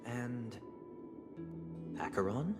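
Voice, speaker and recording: A man's voice: medium pitch, lively intonation, extremely slow. A man playing a suave, guarded family head in a video game, speaking with polished, pointed politeness and veiled authority. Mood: coolly reproving. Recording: long hall reverb, loud music behind.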